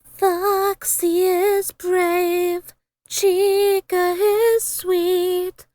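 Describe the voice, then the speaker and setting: A woman's voice: very high voice, little pitch movement, very slow, mellow, no room sound, faint music behind. A woman singing a simple, lullaby-like song.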